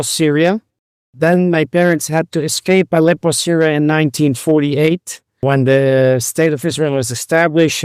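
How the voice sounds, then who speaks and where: A man in his sixties from Lebanon, a rabbi and physician, telling his life story in an interview, speaking haltingly with many fillers and restarts. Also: fairly high pitch, darkish tone, clear, resonant tone, dry recording, studio quality.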